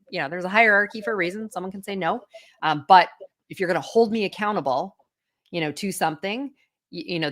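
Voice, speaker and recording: A middle-aged woman executive speaking as an interview guest, candid and self-assured, with frequent fillers. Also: sits low. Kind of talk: conversational interview.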